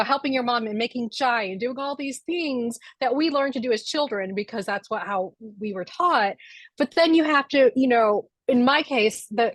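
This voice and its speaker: A chatty American woman with a somewhat high voice talks about books at a library event, laughing often. Her voice is recorded close and dry, with little room sound. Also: dry recording. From United States. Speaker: author. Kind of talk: casual conversation.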